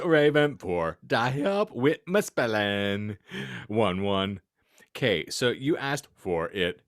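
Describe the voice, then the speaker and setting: A man hosting a podcast, giving a theatrical, deliberately stumbling comic reading of fan fiction. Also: fairly low pitch, fairly bright tone, no echo, studio quality. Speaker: podcast host.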